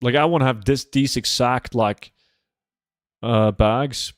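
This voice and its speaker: A man talks casually on a gaming livestream. He is blunt and swears offhandedly, in a mid-pitched voice. The sound is close and dry, with very little room in it. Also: slow pace, no room sound. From Norway. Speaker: game livestreamer.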